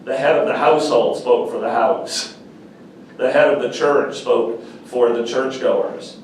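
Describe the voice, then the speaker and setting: A man's voice: mid-range voice, distant mic, slight background noise. Earnest man speaking in an echoey room. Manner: earnest, measured, repeats phrases for emphasis. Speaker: panel speaker. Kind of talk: reflective public talk. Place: university meeting room. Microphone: far camera.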